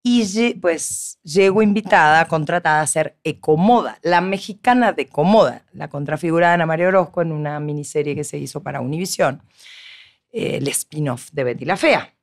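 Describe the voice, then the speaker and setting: Argentine woman, middle-aged, animated storytelling, podcast studio mic. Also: low-pitched, normal speed. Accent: Argentine Spanish. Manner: expressive, animated storytelling, frequent laughs. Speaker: guest actress. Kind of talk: casual podcast conversation. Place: small podcast studio with neon lights. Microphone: studio mic on boom arm.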